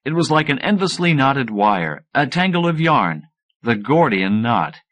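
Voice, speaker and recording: A man reading first-person fiction as an audiobook narrator, in an expressive scripted style. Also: mid-range voice, a little slow.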